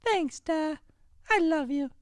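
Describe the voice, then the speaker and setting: A man voicing an eager, affectionate young Irish daughter in a breathy falsetto for improvised radio comedy. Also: lively intonation, slow pace, dry recording, clean studio sound, exaggerated voice.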